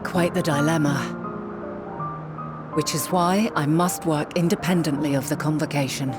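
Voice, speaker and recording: An actress playing a wise, resolute leader in a game, speaking in measured, solemn, formal archaic phrases. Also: female voice, sits low, fairly even intonation, music in the background, close to an everyday voice. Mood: grave, resolute. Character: wise, resolute ancient woman leader, grave yet hopeful. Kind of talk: dramatic scripted game acting.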